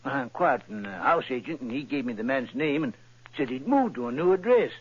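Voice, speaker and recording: An actor playing a plain-spoken, earnest middle-aged shopkeeper in an old radio drama, with homely, rustic turns of phrase. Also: male voice, mid-range voice, expressive, fairly quick, breathy edge. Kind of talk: 1940s radio acting. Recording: muffled dry old radio recording.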